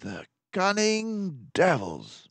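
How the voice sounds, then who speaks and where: Male reader as storybook mouse detective, high-pitched, excitable, commanding, emphatic exclamations, unhurried delivery. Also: gravelly, slightly rough, no echo, full character voice. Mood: indignant, urgent. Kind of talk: children's storybook read-aloud.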